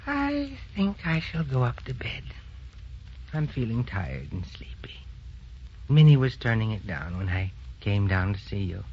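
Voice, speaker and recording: A man's voice: lowish voice, huge pitch swings, unhurried, a little mellow, husky, heavily acted. An actor playing a forgetful old grandfather who dotes on his wife, testy and rambling, in a sentimental radio drama. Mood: tired. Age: older. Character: feeble, forgetful old grandfather, doting husband. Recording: muffled old radio recording with music.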